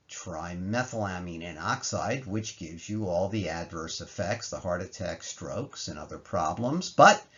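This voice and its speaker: A man's voice: on the low side, highs cut off. A man presenting a slide lecture, explaining research in plain language in a conversational, emphatic way. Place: voice-over recorded over slides.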